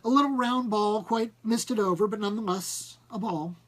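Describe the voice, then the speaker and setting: A man voicing a fat little older experimenter in a story, excitable and halting in his speech. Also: sits high, pitched above the performer's own voice. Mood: steady, strange. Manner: halting, exclamatory, swinging from agitated to thoughtful.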